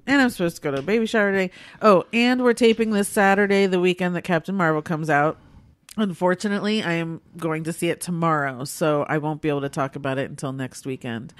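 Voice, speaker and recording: A woman's voice: on the low side, fast talker, no room sound, clean studio sound. Nasal, congested woman chatting casually. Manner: chatty, rambling, laughs often. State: sick, congested. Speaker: podcast co-host. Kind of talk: casual chat reviewing movies and TV.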